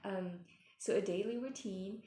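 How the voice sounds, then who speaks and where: A woman giving gentle spiritual advice in a meandering way, with many fillers and restarts, and she is losing her voice. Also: medium pitch, unhurried, echoey room. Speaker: spiritual mentor. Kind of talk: casual advice talk.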